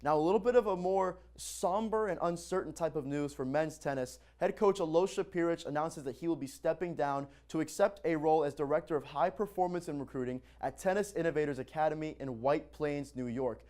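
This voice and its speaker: A man's voice: fairly high pitch, fairly quick, few pauses, darkish tone. Upbeat young guy reading a sports roundup. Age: young adult. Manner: upbeat, read from script. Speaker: student sports co-anchor.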